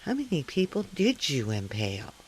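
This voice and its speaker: A man playing a probing, skeptical talk show host in comic live radio theater, with a deadpan, announcer-like delivery. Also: mid-range voice, normal speed, slightly rough, rough recording.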